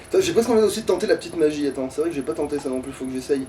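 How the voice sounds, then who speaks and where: A man's voice: on the high side, expressive, rapid-fire, dark tone, far from the mic, a little hiss or hum. A man chatting casually as he plays, thinking aloud and swearing in grumbles. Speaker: let's play player. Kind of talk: casual gaming chat while playing.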